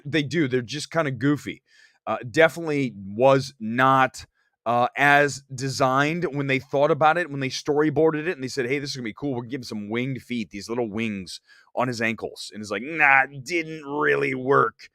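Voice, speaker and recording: A man's voice: medium pitch, a bit bright, no room sound, clean studio sound. A man with a slight cold hosting a movie talk show, giving emphatic, conversational opinions and reading out viewer comments.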